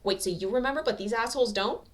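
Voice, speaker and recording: A woman voicing a brash, impatient young male anime hero in a fan fiction reading, with a gruff, bossy, profane way of talking. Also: a little high, fast, pitched above the performer's own voice, natural voice. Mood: snappy, irritated.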